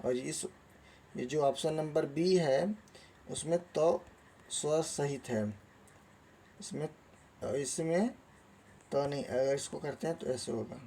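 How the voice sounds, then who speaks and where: A man's voice: on the high side, slow pace, creaky, pauses often, warm tone, slight background noise. A man teaching a lesson, reading out quiz questions and options in a didactic way and then giving the answers. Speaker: Hindi grammar teacher.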